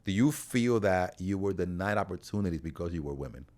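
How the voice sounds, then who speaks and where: A man's voice: low voice, animated, brisk, darkish tone. A man hosting a casual podcast interview, talking informally with slang and some swearing.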